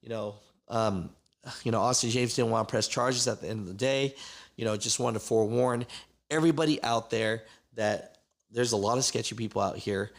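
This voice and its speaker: A man's voice: medium pitch, gravelly. A man hosting a trucking channel, recapping a story in casual commentary with many fillers.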